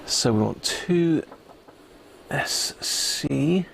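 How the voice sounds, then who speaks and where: A man's voice: a little high, expressive, extremely slow, vocal fry, fairly bright tone, a little hiss or hum, poor audio. A man hosting a casual hobby livestream, chatting matily and muttering to himself as he works at an electronics bench. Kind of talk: casual live chat while working at a bench.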